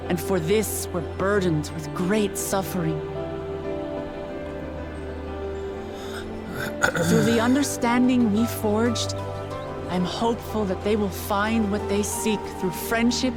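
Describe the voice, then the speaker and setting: An actress playing a young woman heir who gives an earnest, idealistic, measured speech in a video game. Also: mid-range voice, loud music behind the voice, close to an everyday voice. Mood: solemn, hopeful.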